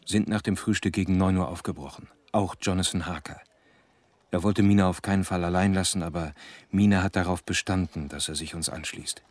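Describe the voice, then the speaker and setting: Actor as low-voiced, sober diary narrator. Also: male voice, steady tone, darkish tone, no echo, natural voice. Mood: sober, tense. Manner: measured, clipped, serious. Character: sober educated man narrating his diary. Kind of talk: scripted diary narration in German radio drama.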